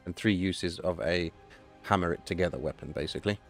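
A man with a hoarse voice, casually talking through a game update and thinking aloud as he plays. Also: deep voice, brisk, soft background music. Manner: chatty, thinking aloud, self-correcting. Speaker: gaming channel host. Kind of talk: casual gameplay commentary.

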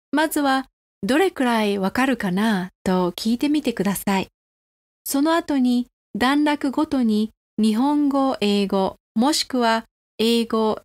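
A woman's voice: a little high, a little mellow. A woman podcast presenter reading a scripted explanation for language learners.

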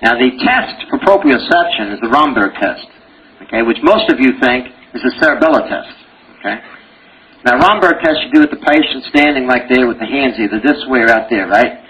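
A man's voice: mid-range voice, a little fast, fairly bright tone, lo-fi sound, slight background noise. A man teaching a medical exam review lecture, joking and quizzing his audience with rhetorical questions.

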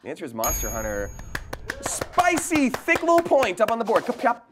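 A man's voice: high-pitched, huge pitch swings, moderate pace, noisy background. A young man with a theatrical, jokey way of talking, playfully hosting a quiz show. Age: young adult. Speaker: game show host. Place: indoor studio set.

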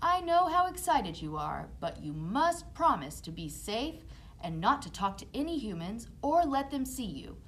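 A woman's voice: fairly high pitch, big pitch swings, normal speed, a bit bright. A woman voicing a protective, stern merman king and father in a children's puppet storytime. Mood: caring, stern.

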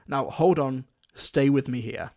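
A man's voice: mid-range voice, a little slow, a little mellow, soft background music. A man hosting a scripted video-essay review in an enthusiastic, emphatic manner. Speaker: reviewer, host.

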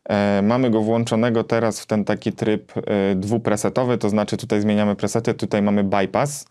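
A man's voice: fairly low pitch, level tone, a little fast, warm tone, clean tone. A man in his thirties co-hosting a gear show, casually explaining and demonstrating in a small studio. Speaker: co-host of a guitar gear review show. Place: small indoor home studio.

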